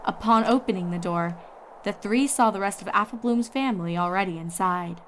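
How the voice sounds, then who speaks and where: A woman's voice: medium pitch, moderate pace, a little hiss or hum. A woman reading a story as scripted narration.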